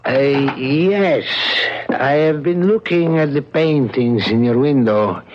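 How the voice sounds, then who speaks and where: A courteous but secretive gentleman artist, voiced by an actor. He is formal, hesitant and ingratiating, in the style of vintage radio drama. The sound is dull, like an old broadcast. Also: mid-range voice, slow pace, natural voice. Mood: politely evasive.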